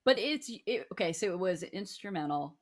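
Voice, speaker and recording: A woman's voice: on the low side, lively intonation, moderate pace. A woman hosting a talk show in playful, casual banter.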